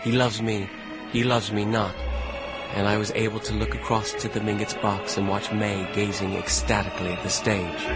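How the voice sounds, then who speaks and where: A low, refined male voice of an actor as a wistful young society gentleman in a period drama. He speaks formally and with measure, both recounting and living his story. Music plays clearly behind him. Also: lightly acted. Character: genteel young New York gentleman, wistful narrator in love. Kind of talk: audiobook drama narration and dialogue.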